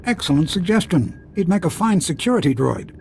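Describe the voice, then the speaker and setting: An actor playing a courteous, quietly smug man in a video game. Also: high voice, sing-song, a little fast, creaky, slight background noise, full character voice. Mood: smooth satisfaction. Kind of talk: video game cutscene acting.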